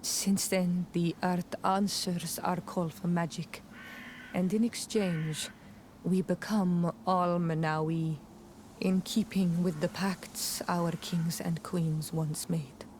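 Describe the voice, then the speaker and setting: A woman's voice: lowish voice, little pitch movement, slow, darkish tone, slight background noise. A woman playing a proud, earnest young native princess in a video game cutscene. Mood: solemn storytelling.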